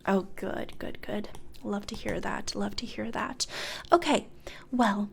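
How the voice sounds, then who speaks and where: A woman playing a blithe corporate recruiter for hell in a soft-spoken ASMR roleplay, hesitant and conversational, often slipping into whispers, close on the mic. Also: mid-range voice, takes it slowly, gravelly, a little hiss or hum. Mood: businesslike. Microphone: close studio condenser microphone.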